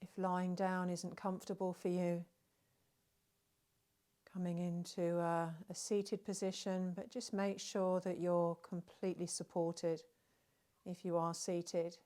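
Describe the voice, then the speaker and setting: A woman leading a guided relaxation, speaking gently and soothingly, with long unhurried pauses between phrases. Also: lowish voice, little pitch movement. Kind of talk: guided meditation narration.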